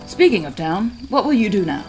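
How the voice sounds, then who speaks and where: A man's voice: high voice, sing-song, a little fast, faint music behind. A man playing an earnest, humble traveling healer who speaks politely and formally in a fantasy audio drama. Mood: earnest, modest.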